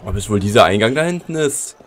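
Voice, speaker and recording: German gamer with a cold, chatty. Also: male voice, lowish voice, animated, fast talker, slight background noise. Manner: chatty, addresses viewers directly. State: has a cold, sore throat. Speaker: let's play commentator. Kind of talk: casual gameplay commentary. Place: at home at his computer.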